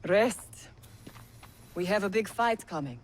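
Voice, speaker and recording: Blunt, lively-pitched female assassin. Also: takes it slowly, a little hiss or hum. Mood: blunt, assured. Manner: blunt, teasing, formal phrasing without contractions. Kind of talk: video game acting.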